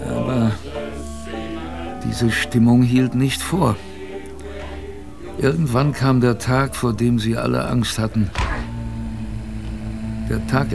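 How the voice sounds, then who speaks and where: A man recounting his youth on a polar expedition for a radio drama. His voice is medium in pitch and noticeably gravelly. He speaks plainly and earnestly, pausing and repeating himself as in spoken storytelling, with music underneath. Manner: conversational storytelling with pauses and repetitions. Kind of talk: first-person radio drama narration.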